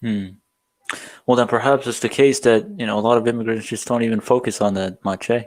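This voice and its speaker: Podcast host guy, mid pitch voice, casual n a bit hesitant, chatty interview style. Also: steady tone, fairly quick, darkish tone.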